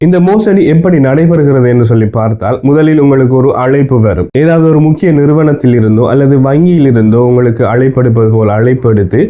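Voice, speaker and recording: Sri lankan tamil guy reading an explainer report, really dark mellow voice, medium pitch, formal and methodical. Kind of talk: scripted news explainer narration.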